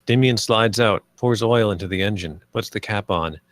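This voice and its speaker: A man's voice: fairly low pitch, normal speed, a bit bright, no room sound. Man narrating screenplay directions, dry sound. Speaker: reader of stage directions. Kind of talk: scripted narration at a screenplay table read.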